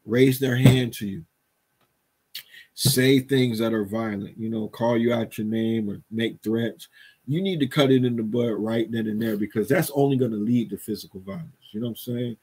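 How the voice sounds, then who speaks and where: A man's voice: mid-range voice, moderate pace, a little mellow, husky. A man in his thirties co-hosting a commentary show, chatting off the cuff in slangy phrases over a headset on a video call from home. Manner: slangy, often adds "you feel me" and "man". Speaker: co-host of a news commentary show. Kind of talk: casual unscripted chat.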